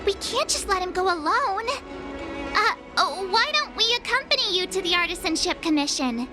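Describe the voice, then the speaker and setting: A woman voicing a perky, outspoken, carefree teenage girl adventurer in a video game, chatty, blunt and expressive. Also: very high voice, moderate pace. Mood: eager. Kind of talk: English video game voice acting. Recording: loud background music under the dialogue. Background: game music.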